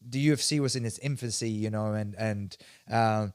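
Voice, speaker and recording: A man's voice: fairly low pitch, a little mellow, clear, resonant tone, no echo. Emphatic man in his forties, dry sound. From Sweden. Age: 40s. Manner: emphatic, talks in quick bursts with restarts. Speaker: martial arts instructor, podcast guest. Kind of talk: casual interview storytelling.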